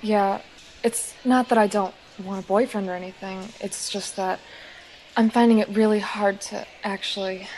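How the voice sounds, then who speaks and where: A woman's voice: medium pitch, steady tone, moderate pace, darkish tone, a little hiss or hum, natural voice, rough recording. A woman playing a reserved, awkward, self-conscious teenage girl in an audio drama. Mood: downcast.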